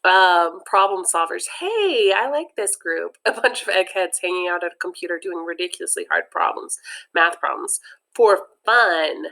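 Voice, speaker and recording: A woman reads a children's comic book aloud in a chatty way. She keeps pausing to offer her own asides between passages. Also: on the low side, big pitch swings, gravelly, bright, forceful tone. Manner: chatty, adds personal comments between passages. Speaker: read-aloud narrator.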